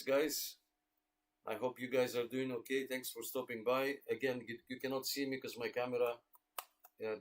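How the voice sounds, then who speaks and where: A man hosting a casual livestreamed radio club roll call, greeting and thanking listeners in a friendly way. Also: mid-range voice. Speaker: host of a radio club check-in net.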